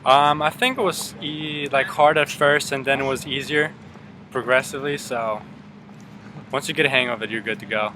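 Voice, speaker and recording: A man's voice: mid-range voice, moderate pace, noisy background. A young man whose first language is Polish, answering interview questions casually and hedging with "um" and "like" as he goes. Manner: relaxed, hedging with "um" and "like". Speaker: student interviewee.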